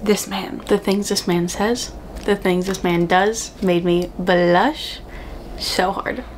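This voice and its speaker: A woman's voice: medium pitch, fairly even intonation, takes it slowly. A young woman with a mid-range voice talks in a casual vlog style. She gushes in slangy phrases and stresses words dramatically, as if chatting with friends. A light layer of background noise sits under the recording. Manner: gushing, dramatic emphasis, slangy. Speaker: book vlogger.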